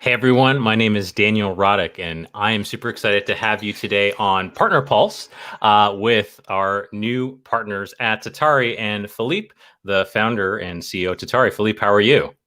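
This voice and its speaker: A man's voice: lowish voice, moderate pace, pushed and bright, no room sound, clean studio sound. A man hosting a casual interview show, speaking eagerly with lots of fillers. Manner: eager, filler-heavy, lots of you know. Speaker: show host.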